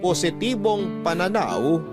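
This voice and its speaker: A man's voice: high voice, big pitch swings, measured pace. A man acting as a grieving husband and father, telling his story solemnly in a radio drama narration over music. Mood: earnest, resolute. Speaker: narrator reading a letter-writer's confession. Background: music bed.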